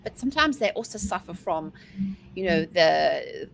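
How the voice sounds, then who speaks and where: A talkative South African woman in her forties, her voice sitting a little low as she tells her story with energy. Her words flow fast and easy in a relaxed interview chat. A faint hiss of noise runs underneath. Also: gravelly, poor audio. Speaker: guest, mindset coach. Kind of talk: casual interview talk.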